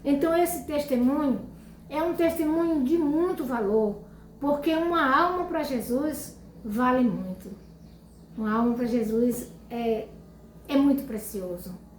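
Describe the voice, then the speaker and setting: Older woman, religious testimony, slightly high voice, slow and repetitive, echoey room with faint background noise. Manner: speaks slowly with pauses and repetitions, fervent. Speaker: lay preacher giving testimony. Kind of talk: informal religious testimony and Bible reading.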